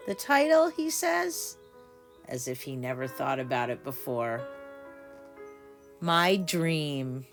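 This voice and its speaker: A woman reading a children's storybook aloud with deliberate, dramatic phrasing for small children. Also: extremely low pitch, sing-song, over music. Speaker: story time reader. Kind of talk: expressive children's storybook narration.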